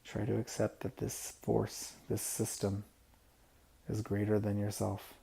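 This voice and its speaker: Male meditation guide: low, very dark and mellow, gravelly fry, soft and unhurried. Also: monotone. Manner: soft-spoken, unhurried instruction with pauses.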